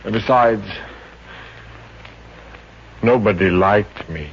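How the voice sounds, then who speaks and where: An actor playing a sensitive, self-pitying young psychiatrist in a 1950s radio comedy, hesitant and earnest. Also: male voice, on the low side, takes it slowly. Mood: plaintive, self-pitying. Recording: muffled, hissy 1950s radio recording.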